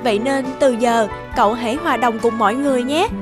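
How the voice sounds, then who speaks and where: A kind little cartoon spirit girl, played by a woman, high and gentle. She sounds reassuring, with music playing behind her. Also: fast talker, natural voice. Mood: gentle, consoling. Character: kind, bright young Light spirit girl.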